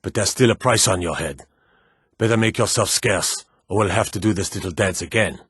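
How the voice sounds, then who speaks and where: A stern city guard from a fantasy video game, with a low, slightly husky voice. He is gruff and authoritative, handing out clipped threats like a weary lawman who could be bought. The recording is dry and close. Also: normal speed, fairly bright tone, dry recording. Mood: sly, conspiratorial. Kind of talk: scripted fantasy game voice lines.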